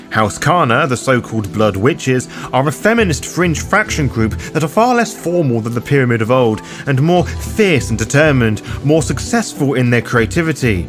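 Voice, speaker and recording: A man reading a scripted lore guide for roleplayers, addressing the listener in formal, ornate phrasing. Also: medium pitch. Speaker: host and narrator of a roleplaying guide. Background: background music under the voice.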